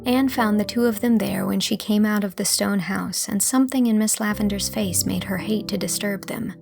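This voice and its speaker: A woman's voice: medium pitch, normal speed, creaky, music in the background. A woman reading scripted audiobook narration as a storyteller.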